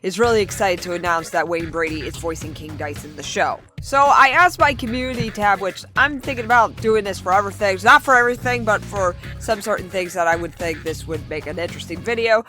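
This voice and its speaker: A woman hosting a fan commentary vlog, talking in a gushing, excitable way and repeating herself for emphasis. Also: mid-range voice, fairly quick, hardly any pauses. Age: adult. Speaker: YouTube fan channel host. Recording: music behind the voice. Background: background music.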